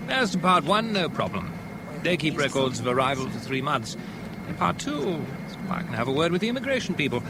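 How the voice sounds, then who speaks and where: A man's voice: fairly high pitch, big pitch swings, fairly quick, noisy background. An actor playing an affable, worldly British airline man in a 1970s radio drama, breezy, jocular and colloquial. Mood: wry, helpful. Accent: British English.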